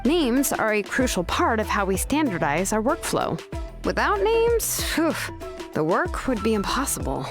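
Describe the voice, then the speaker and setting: A woman voicing a laid-back, matter-of-fact young smith in a video game, speaking in a measured, casually confident, explanatory way. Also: a little high, very animated, music in the background. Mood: explaining calmly.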